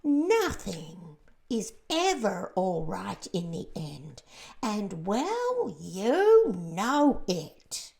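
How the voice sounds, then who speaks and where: A woman voicing a gloomy, complaining blind male earthworm who draws out his words slowly and sounds doomed. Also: medium pitch, huge pitch swings, gravelly, a little mellow, pitched above the performer's own voice, exaggerated voice. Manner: slow, drawn-out and doom-laden.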